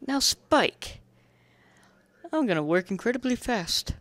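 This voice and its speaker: A narrator voicing a bold, excitable young pony hero in a theatrical, exclamatory manner. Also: mid-range voice, takes it slowly, no room sound, voice pushed up. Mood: eager, commanding.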